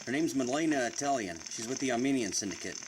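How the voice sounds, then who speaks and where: A wisecracking, menacing gangster , acted by a man in the manner of 1940s radio crime drama. He talks in tough street slang with a sneer, over a clearly noisy, sizzling recording. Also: mid-range voice, animated, quick pace, close to an everyday voice. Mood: cocky. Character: tough, sneering 1940s New York mobster with a gun.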